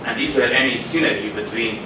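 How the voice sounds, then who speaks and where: A man's voice: medium pitch, fairly quick. A man speaks up from the floor of a conference hall, his voice heard from a distance through a roomy echo. He asks his question hesitantly, hunting for words, over a noticeable noise bed. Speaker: audience member asking a question. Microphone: room audio, away from the microphone.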